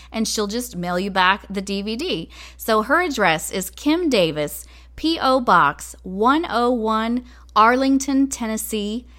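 A woman's voice: a little high, fairly bright tone. A woman co-hosting a podcast, delivering an introduction and announcements. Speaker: podcast co-host. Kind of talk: scripted show introduction and announcements.

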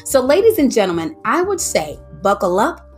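An upbeat woman hosts a podcast interview, exclaiming as she welcomes her guest. Her pitch rises and falls all the time. Faint music plays underneath. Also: sing-song, moderate pace. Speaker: podcast host.

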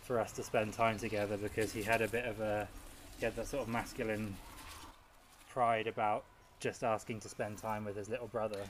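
A man speaking thoughtfully in casual chat, halting and repeating words as he gathers his thoughts. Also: lowish voice, flat delivery, a little hiss or hum. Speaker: podcast guest. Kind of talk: casual chat while gardening.

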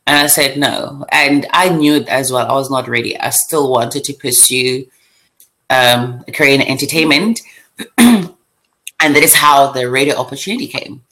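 A woman's voice: a bass voice, poor audio. Young South African woman chatting. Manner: lively, laughs often, quick bursts and restarts. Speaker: guest. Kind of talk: casual chat.

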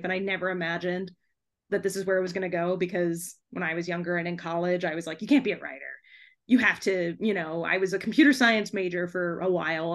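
Woman podcast guest, mid pitched voice, chatty and giggly, just talking casually. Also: fairly bright tone. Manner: giggly, laughs often while talking. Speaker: guest author and TV trailer writer.

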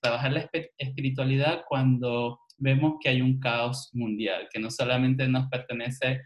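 A man's voice: mid-range voice, steady tone, moderate pace. A man from Venezuela, hosting a conversational interview and often searching for his words. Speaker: interview host, journalist.

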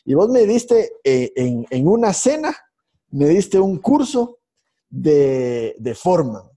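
A man co-hosting a podcast, telling stories in a halting way with many restarts and fillers. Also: fairly high pitch, sing-song, warm tone. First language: Spanish. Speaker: podcast co-host, IT instructor. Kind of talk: casual podcast conversation.

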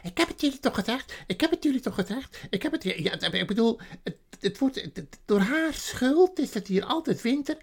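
An overexcited storybook beaver squeaks and stammers, his words tripping over each other. The voice sits very high and husky, with a gravelly rasp. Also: normal speed, exaggerated voice. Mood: overjoyed. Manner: stammering, breathless excitement. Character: excitable male beaver, stammering and jumpy. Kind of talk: storybook reading.

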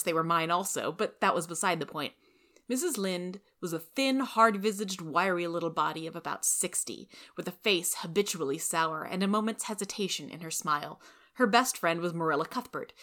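A woman's voice: on the low side, a bit bright. A woman reading a scripted story aloud as its narrator.

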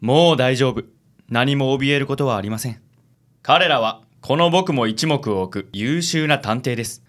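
A man playing a suave, theatrical gentleman with pompous, chivalrous, flowery speech in a comic radio drama. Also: mid-range voice, expressive, unhurried. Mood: suave, gallant. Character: suave, theatrical gallant man who fusses over ladies.

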